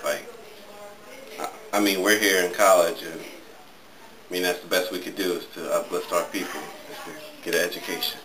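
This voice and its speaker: A man's voice: low voice, little pitch movement, moderate pace, reverberant, a crowd in the background, rough recording. A young college man speaking solemnly and thoughtfully in a dorm room, recorded by a camera across the room. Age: young adult. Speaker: college student interviewee.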